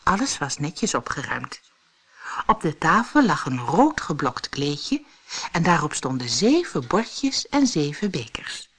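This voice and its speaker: A woman narrating a scripted fairy tale audiobook for children in an expressive, deliberate way. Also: low-pitched, breathy edge. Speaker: storyteller.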